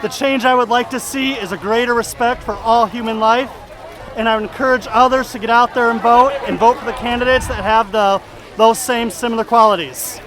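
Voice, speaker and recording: Composed young man, very high voice. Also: steady tone, a little fast, a crowd in the background. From Sioux Falls, South Dakota. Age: 20s. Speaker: protester, loan officer.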